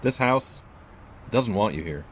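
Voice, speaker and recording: An actor playing a gruff, wary older landlord of a haunted house, curt and folksy, in an audio drama. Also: male voice, on the low side, lively intonation, unhurried, a bit bright. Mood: wry, ominous. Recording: clear background noise.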